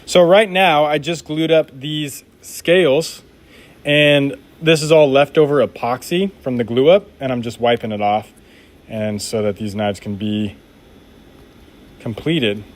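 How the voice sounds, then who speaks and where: A man's voice: mid-range voice, steady tone, a little slow. A man making knives during a live stream, chatting casually and reading viewer comments aloud in an upbeat, laid-back way.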